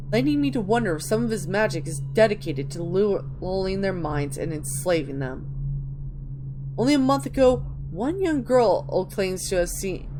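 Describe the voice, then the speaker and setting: A woman's voice: mid-range voice, noisy background. A woman hosting a gaming video, reading in-game texts aloud and commenting casually as she plays.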